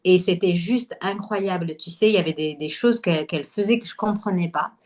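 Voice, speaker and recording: A woman from Algeria, a podcast guest, talking casually with many pauses and fillers. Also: on the low side, darkish tone. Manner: hesitant, many fillers and restarts. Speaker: podcast guest, holistic nutrition coach. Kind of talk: casual conversational interview.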